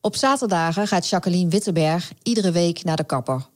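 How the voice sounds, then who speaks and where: A woman narrates a scripted true crime story in a dry, monotone delivery. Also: lowish voice, a little fast, background noise. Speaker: podcast narrator.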